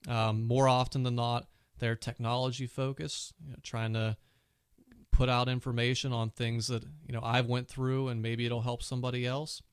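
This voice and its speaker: A man's voice: mid-range voice, level tone, moderate pace, clean tone, no echo, clean studio sound. A man hosting his own casual tech podcast, chatty and full of fillers, with a cold and a sore throat. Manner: chatty, many "you know" fillers. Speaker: podcast host.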